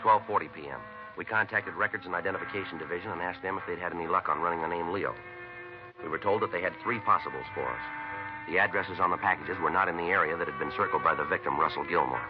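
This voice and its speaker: Very deep, deadpan police sergeant played by a man. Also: quick pace, close to an everyday voice. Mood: flat, businesslike. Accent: American. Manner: deadpan, terse, matter-of-fact. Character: stoic, businesslike male police sergeant narrating a case. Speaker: lead actor and narrator. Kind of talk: 1950s radio police drama, clipped scripted narration. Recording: dull vintage radio recording with music.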